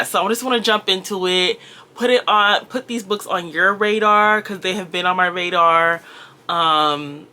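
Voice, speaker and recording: A woman's voice: on the low side, pushed and bright. A Jamaican-born woman with a Valley girl accent, talking casually about books as a reviewer, rambling with lots of fillers and laughs. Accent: American Valley girl. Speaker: book reviewer. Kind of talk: casual chat.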